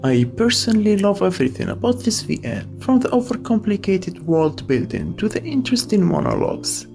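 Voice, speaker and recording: A man's voice: high-pitched, huge pitch swings, brisk, vocal fry, darkish tone, soft background music. A man narrating a scripted video essay as its host, with casual asides.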